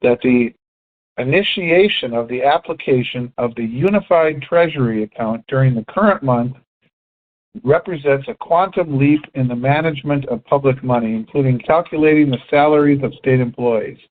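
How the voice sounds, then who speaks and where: A man co-hosting a financial conference call, explaining in measured, halting phrases over a tinny telephone line. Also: mid-range voice. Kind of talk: explanatory discussion, partly reading articles aloud.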